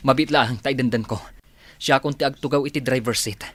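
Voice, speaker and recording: A man's voice: mid-range voice, fairly quick, a bit bright, no echo, close to an everyday voice. Male actor as earnest helpful young man, mid-range voice, respectful, radio drama. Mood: urgent, helpful. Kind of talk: Ilocano radio drama acting.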